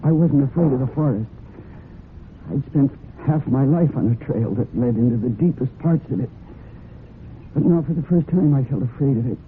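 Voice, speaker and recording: A woman's voice: very deep voice, moderate pace. An actress playing a distraught, fiercely protective horsewoman, with a fraught, heightened delivery in old-time radio drama style. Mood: tense, foreboding. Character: distraught, fiercely protective horsewoman narrating her own crime story. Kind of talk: dramatic old-time radio acting with first-person narration. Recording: muffled phone-like old radio recording with hiss.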